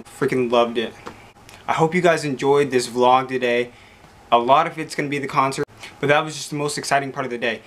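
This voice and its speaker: A young man, tired and going hoarse, chatting excitedly to camera at home, rambling and calling out to his viewers. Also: mid-range voice, a little hiss or hum. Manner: excited, rambling, repeats "guys". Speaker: vlogger. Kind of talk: casual talk to camera. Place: small room indoors, at home.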